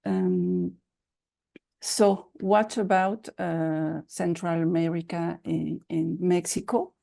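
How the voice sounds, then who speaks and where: Gravelly, slow-talking woman lecturer. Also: fairly low pitch, animated, dark tone. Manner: hesitant, with many filler sounds. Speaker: guest lecturer. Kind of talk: academic presentation. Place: conference session.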